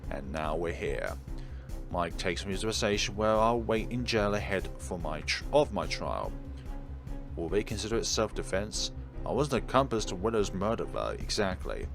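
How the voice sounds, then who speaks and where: A man's voice: on the low side, sing-song, normal speed. A man doing let's play commentary, reading game narration aloud theatrically with wry asides. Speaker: game streamer. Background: game music.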